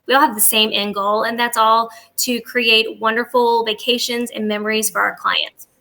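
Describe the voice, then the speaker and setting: A woman's voice: a little high, flat delivery, normal speed, vocal fry, pushed and bright, low-quality recording. A woman speaking as a travel agent guest in a conversational interview, agreeable, affirming and eager to help.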